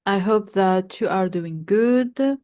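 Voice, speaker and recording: A woman's voice: mid-range voice, takes it slowly, darkish tone. A French-woman, introducing an online class in a chatty way that is full of "like" and "okay?". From Algeria. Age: 20s. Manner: chatty, fills with "like" and checks in with "okay?". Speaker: French teacher.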